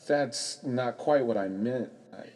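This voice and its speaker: An actor playing a shy, lovestruck young stage actor, earnest and hesitant, in a radio play. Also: male voice, mid-range voice, slow, a little mellow, big-room sound. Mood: earnest, smitten.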